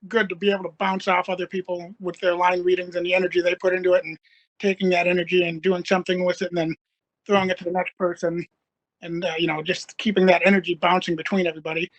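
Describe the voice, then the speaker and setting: A man's voice: high-pitched, flat delivery, quick pace, fairly bright tone, lo-fi sound. A man who acts in radio plays, chatting casually and rambling a little on a panel. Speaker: radio play cast member.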